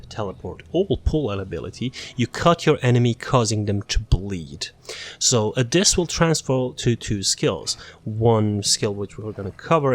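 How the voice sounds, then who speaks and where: Casual, low-voiced man presenting a game guide. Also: slight background noise. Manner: offhand, self-deprecating, rambling. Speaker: gaming video presenter. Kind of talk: casual game build walkthrough.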